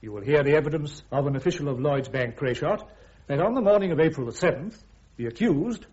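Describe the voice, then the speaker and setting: Dull band-limited radio drama sound, man acting British army prosecutor, highish voice, formal, deliberate, precise courtroom delivery. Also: expressive, darkish tone, natural voice. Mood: formal, measured.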